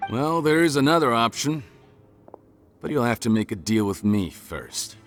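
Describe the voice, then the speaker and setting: A man's voice: mid-range voice, very animated, moderate pace, soft background music, natural voice. An actor playing a cold, calculating male criminal leader in a game cutscene, talking down to the others. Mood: scornful. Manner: condescending, calculating.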